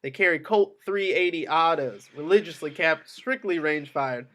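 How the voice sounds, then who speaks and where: A man's voice: on the high side, moderate pace, a bit bright. A man narrating the action lines of a screenplay at a table read.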